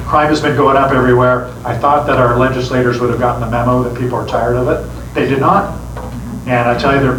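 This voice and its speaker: Brief: man, sheriff pitching re-election to a crowd, earnest, restarts phrases, heard from across an echoey room with background noise. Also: far from the mic. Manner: earnest, repeats words when starting phrases. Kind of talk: campaign speech to a meeting. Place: meeting room with an audience.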